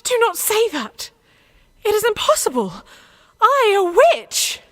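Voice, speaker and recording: A woman's voice: very high-pitched, big pitch swings, slow pace, a bit bright, exaggerated voice. A woman playing a devout, headstrong young peasant warrior in a radio play, impassioned and plain-spoken. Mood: distressed, pleading.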